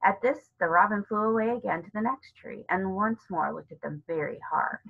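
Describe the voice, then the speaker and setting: A woman's voice: medium pitch, expressive, normal speed, vocal fry, husky, narrow, tinny audio. A woman narrating a children's novel as a storybook reader.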